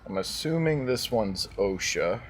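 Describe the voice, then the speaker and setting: A man hosting a casual video reaction, his voice hoarse and prone to cracking, talking in a chatty, rambling way with plenty of fillers. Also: lowish voice, lively intonation, a little slow, a little hiss or hum. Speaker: YouTube reaction host.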